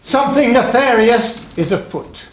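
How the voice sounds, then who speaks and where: A man's voice: very high-pitched, expressive, moderate pace. A man playing a brooding, suspicious sea god in a live stage comedy, speaking in terse, formal, pompous phrases. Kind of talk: live one-act stage comedy acting. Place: stage of a small playhouse. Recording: echoey live theatre with audience noise.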